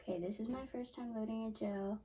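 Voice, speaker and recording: A 12-year-old girl with a squeaky voice hosts a casual hands-on science demo, chatting and correcting herself as she walks through each step in a small home lab. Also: fairly even intonation, fairly quick, mellow, a little hiss or hum. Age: 12 years old. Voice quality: very high squeaky young voice. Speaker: young science channel host. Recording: voice from a distance off the mic.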